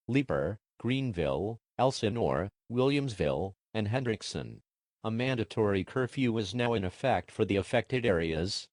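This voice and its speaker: A man voicing an impersonal emergency alert announcer in a formal, measured, official manner. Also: fairly low pitch, no room sound, studio quality, close to an everyday voice.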